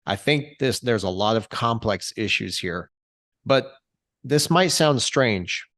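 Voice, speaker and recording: A man hosting an interview, asking measured questions and often giving short acknowledgments. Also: mid-range voice, lively intonation, a bit bright, no room sound, clean studio sound. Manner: measured, frequent short backchannels. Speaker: interview host.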